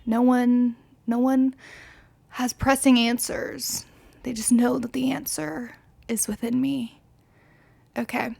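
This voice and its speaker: A woman's voice: a little high, fairly even intonation, takes it slowly, vocal fry, long pauses, darkish tone. A woman in her twenties, slightly tipsy, rambling candidly through a casual solo podcast with lots of fillers and swearing. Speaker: podcast host.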